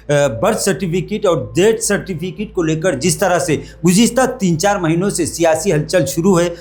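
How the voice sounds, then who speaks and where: A man's voice: sits high, a little mellow. A man delivers a news report. His diction is formal and flavoured with Urdu, in the style of a presenter narrating events.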